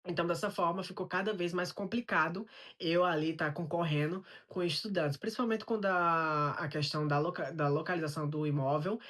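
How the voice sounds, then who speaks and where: Low-voiced Brazilian woman vlogging. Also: steady tone, fairly quick, fairly bright tone. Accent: Brazilian Portuguese. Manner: explanatory, poses rhetorical questions and answers them. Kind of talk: personal storytelling vlog.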